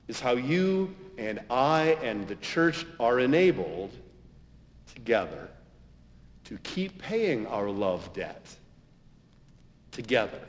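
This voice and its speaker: A man preaching a homily as a pastor, with emphatic, deliberate phrasing and dramatic pauses. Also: mid-range voice. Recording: dull, muffled sound with long reverberation.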